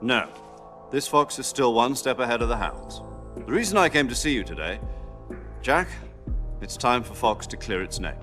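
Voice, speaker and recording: Shrewd middle-aged American intelligence officer, average pitch, dry and composed, for a military game cutscene. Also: male voice, very animated, moderate pace, pauses often, a bit bright, faint music behind. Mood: wry, composed. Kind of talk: cinematic game cutscene acting.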